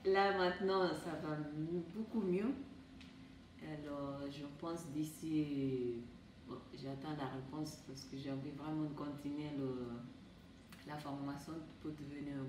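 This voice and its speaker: A chatty young woman from Portugal speaks in a fairly low voice, lively and quick to laugh. She sits away from the mic in an echoey room, with clear background noise around her. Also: far from the mic. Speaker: resident. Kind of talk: testimonial interview.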